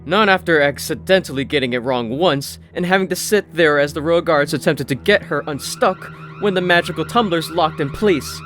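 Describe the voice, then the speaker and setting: A man's voice: a little high, moderate pace, hardly any pauses, a bit bright. A man narrating a scripted fantasy story in the manner of an audiobook. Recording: music behind the voice. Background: background music.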